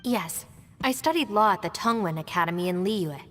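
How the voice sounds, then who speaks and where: A woman playing a composed, shrewd young female deputy in a video game, speaking in a measured, polite, matter-of-fact way. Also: medium pitch, expressive, big-room sound, soft background music, natural voice. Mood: explaining.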